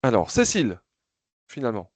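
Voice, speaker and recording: A man's voice: mid-range voice, huge pitch swings, fairly quick, no room sound. A man hosting a video call for his association, briefing members in a casual, hesitant way with many fillers and restarts. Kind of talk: casual spoken briefing, moderating questions.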